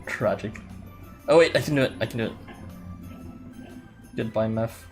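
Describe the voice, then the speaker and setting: A man's voice: lowish voice, moderate pace, a little mellow. A man giving casual, chatty live commentary while he plays a game as a streamer, in an offhand manner with some mild swearing. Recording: music playing behind the voice. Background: background music.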